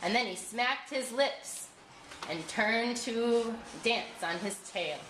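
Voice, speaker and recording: Expressive woman storyteller in a roomy space. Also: a little high, slow pace. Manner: expressive read-aloud delivery. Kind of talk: scripted story narration for a puppet show. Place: school room. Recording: roomy room sound with faint noise.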